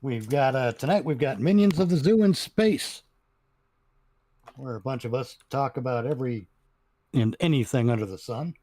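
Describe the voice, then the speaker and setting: A man's voice: mid-range voice, moderate pace, mellow, dry recording. Casual man, dry close sound. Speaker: panel member promoting shows. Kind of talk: casual announcement chat.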